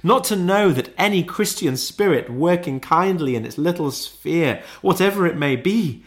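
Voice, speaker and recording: A man's voice: fairly high pitch, fairly bright tone, pitched above the performer's own voice. A man voicing a mournful, remorseful old male ghost in a storybook, with a solemn, lamenting and declamatory delivery. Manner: declamatory, lamenting, drawn-out emphasis. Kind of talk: dramatised classic ghost story.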